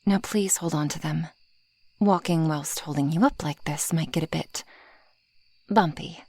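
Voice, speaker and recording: A woman voicing a possessive, proud female dragon in an ASMR roleplay, with formal, haughty phrasing and a breathy, husky voice that often drops toward a whisper. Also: low-pitched, unhurried, darkish tone, full character voice. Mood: haughty, teasing. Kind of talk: ASMR roleplay addressed to the listener.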